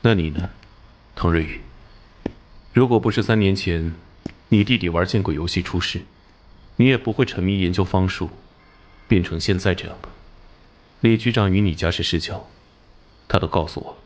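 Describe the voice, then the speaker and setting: An actor voicing a hot-tempered, haunted police captain and former soldier in an animated drama, blunt and earnest. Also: male voice, sits low, moderate pace, long pauses, natural voice. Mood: earnest, firm. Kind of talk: Chinese animation dubbing.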